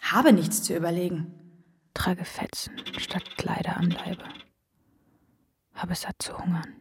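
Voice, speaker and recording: An actress playing a destitute, weary seventeen-year-old girl in a radio play, speaking in hushed, terse, resigned phrases. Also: lowish voice, fairly even intonation, takes it slowly, whispered. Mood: weary, bitter. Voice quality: hushed, breathy. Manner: terse, resigned, clipped sentences. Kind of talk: German radio play acting.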